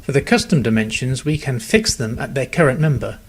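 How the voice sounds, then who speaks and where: A man narrating a scripted software tutorial in a measured, step-by-step instructional manner. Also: mid-range voice, animated, noisy background.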